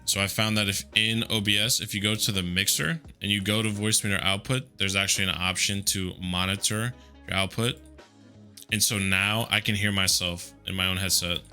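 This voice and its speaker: A man with a deep voice walking through software settings in a relaxed, conversational way, with a headset mic. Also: brisk, soft background music. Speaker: tutorial host. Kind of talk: casual screen-recorded software tutorial.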